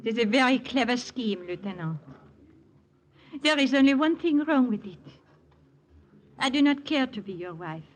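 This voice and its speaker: An actress playing a reserved young French chateau owner with a French accent in a 1940s radio drama. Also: female voice, fairly high pitch, lively intonation, moderate pace, long pauses. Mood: doubtful, cool.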